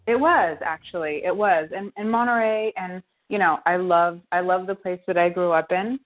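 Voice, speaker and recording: A woman in her fifties, a guest in a casual interview, talking thoughtfully with many restarts and soft laughs. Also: lowish voice, normal speed. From United States. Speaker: guest, winery co-founder. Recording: tinny phone line.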